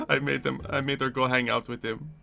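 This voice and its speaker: A man's voice: mid-range voice, lively intonation, moderate pace, vocal fry, pushed and bright, faint music behind. A man playing an eager, childlike prankster at a demigod camp in improvised Minecraft roleplay, chatting and rambling playfully.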